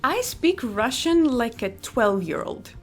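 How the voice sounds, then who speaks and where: A woman's voice: fairly high pitch, sing-song, slow pace, faint music behind. A young woman from Greece, a language teacher, talking casually in an interview over a video call. She is chatty and modest, and laughs easily. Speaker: guest, Greek teacher.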